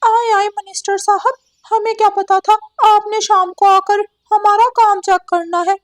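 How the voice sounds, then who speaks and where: A woman's voice: very high-pitched, level tone, measured pace, no room sound, pitched above the performer's own voice. A woman voicing an earnest, sensible male parrot who leads the birds in a cartoon. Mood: sarcastic. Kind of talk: cartoon character acting.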